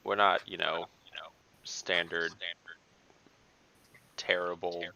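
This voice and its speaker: A man playing a tabletop game in character, talking in a measured, wry and slightly formal way. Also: deep voice, little pitch movement, creaky, sharp tone, low-quality recording. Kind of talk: in-character improvised game talk.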